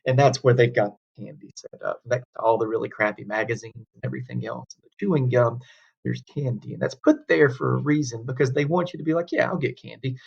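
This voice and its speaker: A man's voice: mid-range voice, creaky, a little mellow. A man teaching a history class in a folksy, conversational way, asking rhetorical questions as he tells stories. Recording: dull lo-fi classroom recording.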